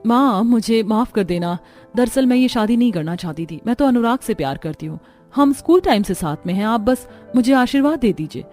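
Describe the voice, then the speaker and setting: A woman's voice: on the high side, animated, fairly quick, a little mellow, soft background music, close to an everyday voice. A woman voicing a self-absorbed young daughter in a cartoon, defiant and dismissive.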